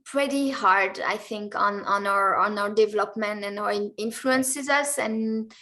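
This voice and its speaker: A woman from Belgium, a guest in an interview, speaking hesitantly with many pauses and restarts. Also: mid-range voice, sharp tone. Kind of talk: interview answers.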